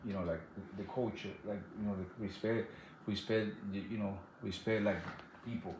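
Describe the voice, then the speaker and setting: A man with a Dominican accent talks in a fairly low voice. He answers questions in loose, repetitive bursts. The echoey room carries a faint hiss of background noise. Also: steady tone. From Dominican Republic. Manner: halting, repeats words, many "like" and "you know". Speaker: interviewee. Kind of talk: casual interview answers.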